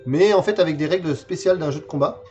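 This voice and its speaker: A man's voice: a little high, fast, a little mellow, dull audio, soft background music. A man in his forties chatting casually on a livestream while showing games from his collection, hesitant and full of fillers and repeated words. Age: 40s. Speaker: streamer presenting a game collection.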